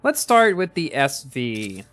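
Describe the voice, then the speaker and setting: A man's voice: mid-range voice, huge pitch swings, slow, a bit bright, soft background music. A man in his early twenties chatting casually while livestreaming a game and reading chat, rambling and often repeating words as he restarts. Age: early 20s. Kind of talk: casual live-stream chat.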